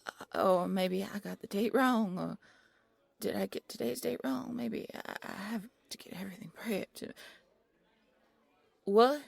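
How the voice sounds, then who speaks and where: A timid, apologetic bunny girl, acted by a young woman, stumbles over her words in an audio roleplay. Her voice is soft and breathy, with very little creak. She sounds easily flustered, and the recording is dry and free of room sound. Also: mid-range voice, a little slow, dry recording. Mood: panicked, flustered. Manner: nervous, stammering, easily flustered. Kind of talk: audio roleplay character acting.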